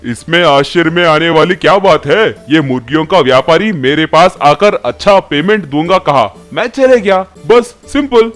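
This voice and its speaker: A man playing a cocky, self-important roadside cook in an animated Hindi story. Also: a little high, normal speed, few pauses, faint music behind, natural voice. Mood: nonchalant. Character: cocky young male dhaba cook, self-important and opportunistic.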